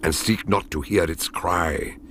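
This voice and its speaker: An actor playing a grave British ancestor who solemnly recounts a dreadful family legend in a gothic radio drama. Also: male voice, deep voice, fairly even intonation, a bit bright, a little hiss or hum. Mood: grave warning. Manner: slow-building, ominous, solemn, archaic storytelling.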